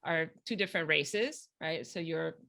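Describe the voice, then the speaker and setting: Bright-voiced woman hosting an interview. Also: sits low, a little slow, creaky. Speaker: host.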